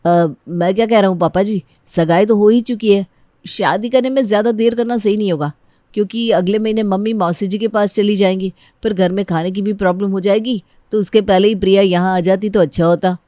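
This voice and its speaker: A woman's voice: mid-range voice, fairly even intonation, brisk, dark tone, no echo, clean studio sound, lightly acted. A woman voicing an eager, impatient young bridegroom in a family story, coaxing and insistent. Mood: persuasive.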